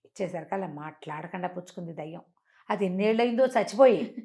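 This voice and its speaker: A woman's voice: lowish voice, animated, fast, dark tone. A woman telling a bedtime folktale as a storyteller, in a conversational way with phrases repeated for effect. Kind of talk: oral bedtime folktale narration.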